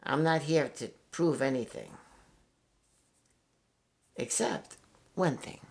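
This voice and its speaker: A man's voice: fairly high pitch, expressive, takes it slowly, vocal fry. Rambling older man from New York. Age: 60s. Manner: rambling, candid, swears freely, with many fillers and restarts. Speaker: guitarist and channel host. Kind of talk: casual reflective monologue.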